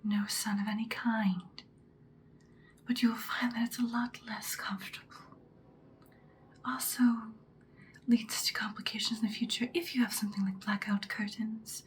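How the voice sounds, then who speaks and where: Slow whispering ancient vampire woman acting, faint noise. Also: on the high side, close to an everyday voice. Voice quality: breathy whisper. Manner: slow, deliberate, intimate. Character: ancient, powerful female vampire, seductive and calmly menacing. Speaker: ASMR performer. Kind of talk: ASMR roleplay.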